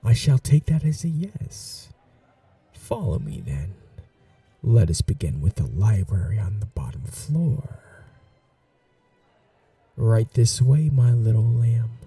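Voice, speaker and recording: A man voicing an ancient, cunning male vampire lord in a whispered ASMR roleplay, courtly and seductive, with a husky, half-whispered voice. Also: medium pitch, very animated, mellow, recorded from a distance, soft background music, heavily acted. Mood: charming, courtly. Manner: courtly, slow and seductive, turning menacing.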